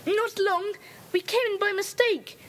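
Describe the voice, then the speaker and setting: A woman's voice: very high-pitched, fairly even intonation, fairly bright tone. A woman voicing Peter, a bold, decisive boy leading his friends in an audio drama, on noisy tape. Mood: eager. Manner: brisk, decisive, eager.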